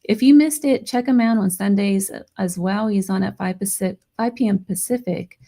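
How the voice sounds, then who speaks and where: A woman hosting a live paranormal radio show, hoarse and losing her voice, chatting excitedly with her listeners. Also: mid-range voice, moderate pace. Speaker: radio podcast host. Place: home studio near Las Vegas.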